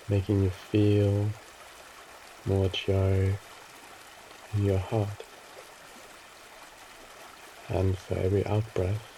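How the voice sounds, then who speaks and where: A man narrating a guided meditation in a soothing, gently instructing way, with short phrases and pauses between them. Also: low voice, a little hiss or hum, poor audio.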